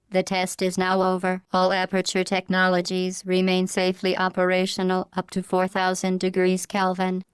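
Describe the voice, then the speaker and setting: A woman playing a coldly polite, menacing artificial intelligence in a video game, deadpan and formal, with a synthetic voice flattened to a monotone. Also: clean tone, no room sound. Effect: synthetic robot voice, pitch flattened to monotone. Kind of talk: scripted video game voice lines.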